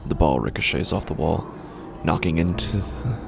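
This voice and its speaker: A man narrating a first-person horror story in a halting, uneasy way, often breaking off mid-sentence, over a music score. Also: sits low, creaky. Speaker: podcast narrator.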